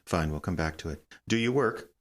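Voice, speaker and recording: An actress playing a controlled, persistent interrogator in an audio drama, measured and probing. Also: female voice, very deep voice, expressive. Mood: calm, coaxing. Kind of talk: naturalistic audio drama acting. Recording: clear background noise.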